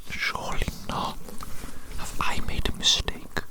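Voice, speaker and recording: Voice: unhurried, lightly acted. An ASMR performer voicing an eccentric evil doctor who dotes on a tiny creation, muttering and repeating phrases theatrically at a close microphone. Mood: baffled, dismayed. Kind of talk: whispered ASMR medical roleplay.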